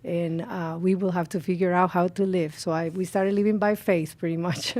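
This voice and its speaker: A woman's voice: lowish voice, steady tone, normal speed, no echo. A middle-aged woman from the Dominican Republic with a Spanish accent, earnestly telling her personal testimony as a guest on a talk show. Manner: earnest, heartfelt storytelling with frequent "you know" and soft laughs.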